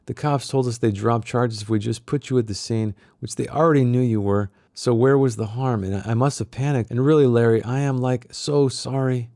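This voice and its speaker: A man reading a novel aloud as a nervy woman informant who gives hushed warnings and pleads her case. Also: medium pitch, fairly even intonation, a little fast, hardly any pauses, no echo, studio quality, pitched above the performer's own voice, close to an everyday voice. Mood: defensive, pleading.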